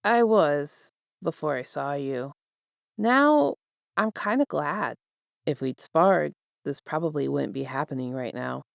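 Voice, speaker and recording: A woman voicing a gentle young man in a fan fiction reading, speaking softly and carefully with light teasing reassurance. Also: low-pitched, expressive, slow, creaky, no echo, studio quality, pitched below the performer's own voice, exaggerated voice. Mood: tender. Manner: soft, careful, quiet speech.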